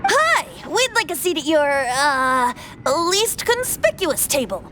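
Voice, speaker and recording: A woman's voice: sits high, big pitch swings, slow pace, exaggerated voice. A woman voicing a sly, carefree, youthful boy bard in an anime video game, breezy and theatrical. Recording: faint music behind.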